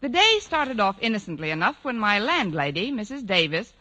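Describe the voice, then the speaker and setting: A quick-witted woman who teaches high school in a golden-age radio comedy. Her voice is bright and cutting, and every line lands with dry, wry timing. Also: very animated. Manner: dry, sardonic, crisply timed. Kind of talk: 1940s-50s radio comedy acting, with narration.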